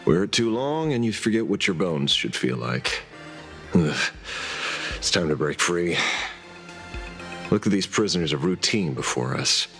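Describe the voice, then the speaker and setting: An actor voicing a sardonic, teasing detective with a vigilante streak in a video game story. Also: male voice, low-pitched, big pitch swings, measured pace, long pauses, a bit bright, faint music behind, heavily acted. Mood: brooding, resolute. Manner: wry, theatrical, teasing.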